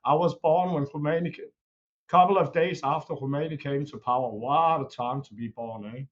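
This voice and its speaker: A man in his forties, born in Iran and not an English speaker, calling in to a live discussion over a new webcam, talking haltingly with restarts. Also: fairly high pitch. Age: 40s. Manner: hesitant, restarting, self-deprecating. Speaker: caller. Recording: lo-fi webcam audio.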